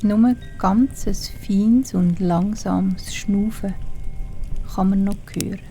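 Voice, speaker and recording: Slow, mellow Swiss German woman storyteller. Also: medium pitch, fairly even intonation, faint music behind. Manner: hushed, soothing, breathy delivery with long pauses. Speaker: storyteller and narrator. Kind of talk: bedtime story narration for children.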